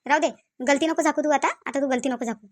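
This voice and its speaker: A woman's voice: high voice, fast talker, lightly acted. A woman with a Varhadi Marathi accent voicing a quarrelsome, grudging mother-in-law in a cartoon family drama, scolding and accusing with pointed questions.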